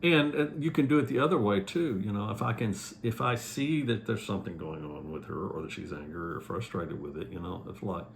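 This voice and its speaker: A man's voice: fairly low pitch, fairly quick, gravelly, few pauses, a little hiss or hum. A man coaching couples in easygoing, folksy conversation about relationships.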